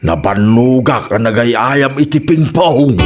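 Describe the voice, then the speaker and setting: A man's voice: mid-range voice, expressive, takes it slowly, over music. A drowsy, teasing Filipino husband and father acted by a man in an Ilocano comedy drama. He takes his time over each phrase, yet his intonation rises and falls widely. His accent is Ilocano. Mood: weary.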